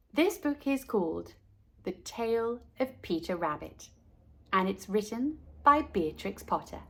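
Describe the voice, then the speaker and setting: A woman hosting a storytime, reading a children's storybook aloud in a deliberate, expressive way and speaking directly to young listeners. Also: fairly high pitch. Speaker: storytime host and narrator.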